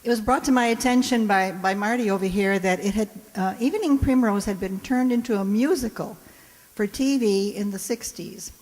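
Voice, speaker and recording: A woman's voice: medium pitch, a little mellow. A woman host giving a prepared introduction to a live audience in a playful, wry, chatty way. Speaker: host introducing a radio players' show. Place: indoor hall in front of an audience. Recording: echoey hall, live stage.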